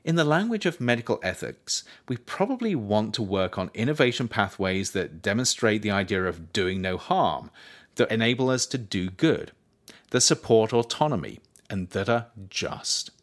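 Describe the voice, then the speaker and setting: A man reading his own scripted non-fiction as narrator, with measured, articulate, emphatic phrasing. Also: lowish voice, sing-song. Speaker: author reading own book as audiobook podcast.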